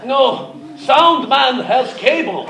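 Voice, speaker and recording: A man's voice: extremely high pitch, expressive, slow pace, vocal fry, heavily acted. An actor playing a hapless, reluctant special forces action man who whines and protests in a live comic radio parody. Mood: uneasy. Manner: whining protests. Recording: roomy, distant, noisy live theatre recording.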